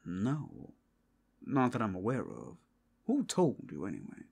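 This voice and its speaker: A man's voice: fairly low pitch, huge pitch swings, unhurried, gravelly, darkish tone, husky, full character voice. A man voicing a skeptical, guarded male werewolf who speaks tersely in a fantasy ASMR roleplay.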